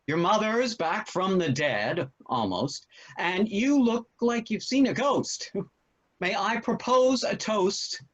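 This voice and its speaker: Guy playing a wry genial father in a play read, kinda high voice, really swoopy and animated. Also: slow, dull audio, exaggerated voice. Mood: wry, festive. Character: genial father proposing a festive toast. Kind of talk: virtual stage play table read.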